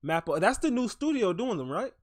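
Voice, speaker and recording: A man's voice: high-pitched, big pitch swings, brisk, a crowd in the background. A man in his twenties hosting a casual anime podcast discussion, talking emphatically with plenty of slang and swearing. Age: 20s. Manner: enthusiastic, emphatic, profane slangy talk. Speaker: podcast host.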